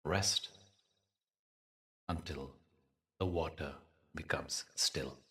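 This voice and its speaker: A man with a low-pitched voice guides a meditation very slowly and deliberately. He leaves pauses between phrases in a soothing, teacherly way. The microphone sounds some distance away. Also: sharp tone.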